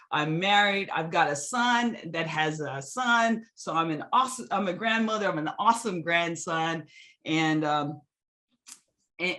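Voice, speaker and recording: A woman's voice: fairly low pitch, fairly bright tone. Eager middle-aged woman from Hawaii presenting. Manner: enthusiastic, many restarts. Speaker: guest speaker. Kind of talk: webinar presentation. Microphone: video call.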